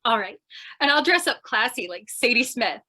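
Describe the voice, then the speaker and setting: A woman's voice: on the high side, sing-song, normal speed, sharp tone, exaggerated voice. A woman playing a scatterbrained, chatty, eager young lady reporter, earnest and theatrical, in old-time radio crime comedy style. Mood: breezy, oblivious.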